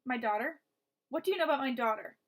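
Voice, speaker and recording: A woman's voice: high voice, lively intonation, quick pace, fairly bright tone, exaggerated voice. A woman voicing a weary but firm father. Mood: tense. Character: worried, weary father, a grown man.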